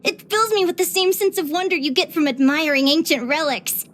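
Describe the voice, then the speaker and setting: High-voiced bubbly young adventurer girl. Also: brisk. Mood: wondering, earnest. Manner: expressive, chirpy, with sighs and giggles. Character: bubbly young female adventurer and naturalist, curious and sweet. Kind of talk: scripted video game character voice lines.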